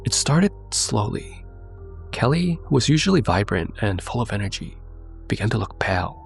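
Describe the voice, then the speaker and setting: A man hosting and narrating a horror story in a measured, suspenseful way, sounding congested from a cold. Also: fairly low pitch, very animated, creaky, soft background music. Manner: measured, suspenseful delivery, addressing viewers directly. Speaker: narrator and host.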